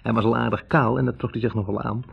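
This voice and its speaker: Wavering young male medical student for radio drama: low, husky, hesitant, on a dull old recording. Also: fairly even intonation, fast. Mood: wry, recounting. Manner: hesitant, searching for words. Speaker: actor in a radio play. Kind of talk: 1960s Dutch radio drama acting. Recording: dull, band-limited 1960s radio recording.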